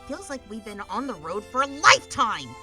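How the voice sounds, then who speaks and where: A woman's voice: fairly high pitch, big pitch swings, fairly quick, over music. A woman voicing a bossy, foul-mouthed travel companion in a comic dub, nagging with exasperation. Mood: exasperated.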